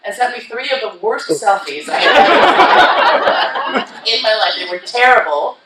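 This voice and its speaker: A woman's voice: fairly high pitch, wildly swooping pitch, normal speed, heavy background noise. A woman giving a keynote talk to a live audience, telling her story in a frank, profane and comic way. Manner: frank, profane and comic, acting out her own past lines. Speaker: keynote speaker. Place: conference hall. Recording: roomy hall, voice from far off.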